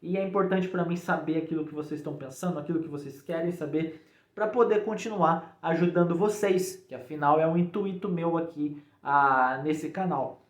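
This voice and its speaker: Man, Brazilian accent, slightly high voice, casual tutorial host, informal check-in tags, echoey room. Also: moderate pace. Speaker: programming tutorial YouTuber. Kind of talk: casual explainer to viewers.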